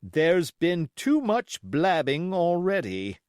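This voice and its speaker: A stern, plain-spoken sea captain, as acted by a male audiobook reader. He bites off formal, emphatic phrases at a slow pace. His pitch leaps about stubbornly. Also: huge pitch swings, a little mellow, smooth, no echo, clean studio sound, heavily acted. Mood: firm, insistent. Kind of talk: audiobook character acting.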